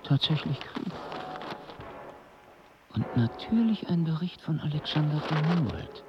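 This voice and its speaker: An actor playing a brooding, self-absorbed scholar musing aloud in a radio play, expressively. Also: male voice, on the high side, sing-song, measured pace, noisy background. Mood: brooding, wry. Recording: slightly muffled, loud music behind.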